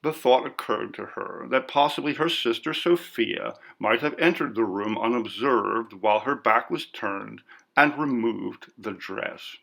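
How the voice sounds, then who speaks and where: Creaky-voiced male story narrator. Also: mid-range voice, unhurried, a bit bright. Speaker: audiobook narrator.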